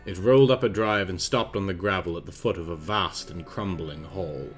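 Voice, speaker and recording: Male narrator, low register, theatrical gothic verse reading with precise, mock-grave delivery, faint music underneath. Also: moderate pace. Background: orchestral and jazz film soundtrack music.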